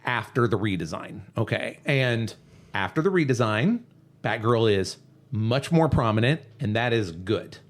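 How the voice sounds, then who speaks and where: A man's voice: medium pitch, animated, a little slow. A man hosting a podcast, talking through a cartoon series in a casual, analytical and emphatic way. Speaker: podcast host.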